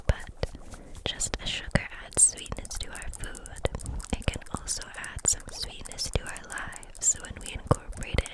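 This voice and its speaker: A woman whispers softly and breathily throughout, in the style of an ASMR roleplay. She plays a gentle kitchen witch, talking tenderly and without hurry straight to the listener. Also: low-quality recording.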